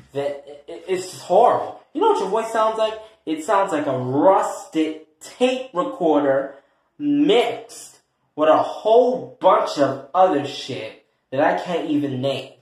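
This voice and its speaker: A sassy, exasperated meddler played by a man scolds with drawn-out emphasis and plenty of swearing. The voice is fairly high and swoops in pitch, in a very echoey room, as comedy skit acting. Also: expressive. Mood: mocking. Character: sassy, exasperated, meddling peacemaker who eggs on feuds.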